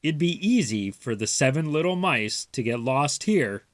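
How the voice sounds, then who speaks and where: A man voicing a gentle, cautious father mouse from a storybook, musing in an expressive, deliberate way. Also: mid-range voice, no echo, clean studio sound, natural voice. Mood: caring, musing.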